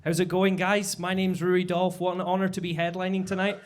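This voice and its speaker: A man headlining a live stand-up set, with a halting delivery full of fillers and stumbles. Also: high-pitched, flat delivery, faint music behind. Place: basement comedy club stage.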